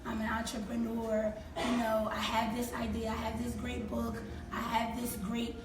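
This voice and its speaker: Slightly hoarse woman, animated guest. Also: fairly high pitch, normal speed. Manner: animated, acts out quoted speech. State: slightly hoarse, losing her voice. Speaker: guest, creative consultant. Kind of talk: casual interview talk. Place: talk show set on stage, seated in armchairs. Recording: echoey room from far off, noisy.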